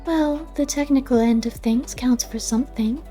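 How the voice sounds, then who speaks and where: Woman voicing a wry, mellow, high-pitched she-cat. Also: moderate pace, faint music behind, natural voice. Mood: curious, skeptical. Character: wry, curious female cat, a skeptical companion. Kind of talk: audiobook character voice.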